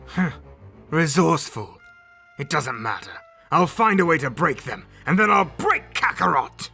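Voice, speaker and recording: A man's voice: on the high side, sing-song, unhurried, vocal fry, bright, forceful tone, slightly rough, faint music behind, heavily acted. A man playing a proud, menacing alien warrior prince, haughty and boastful in a dramatic fan audio drama. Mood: defiant, threatening.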